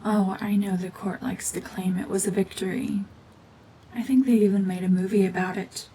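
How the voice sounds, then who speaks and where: A woman voicing a cold, mean, world-weary cyborg witch and war veteran in a hushed, breathy, brooding, deliberate ASMR drama monologue. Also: mid-range voice, steady tone, a little hiss or hum, lightly acted, rough recording. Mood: bitter, brooding.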